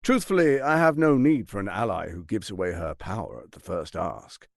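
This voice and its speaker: An actor playing a scheming, arrogant middle-aged tyrant lord in a video game, with a pompous, silky, menacing delivery. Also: male voice, sits low, animated, normal speed, gravelly, no echo, clean studio sound. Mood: imperious, contemptuous. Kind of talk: theatrical video game voice acting.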